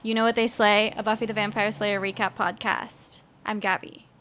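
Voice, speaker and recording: A woman's voice: medium pitch, flat delivery, moderate pace, a bit bright. A woman co-hosting a podcast, speaking in a casual, conversational way as she leads the chat. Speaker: podcast co-host leading the recap. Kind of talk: casual chat and episode recap.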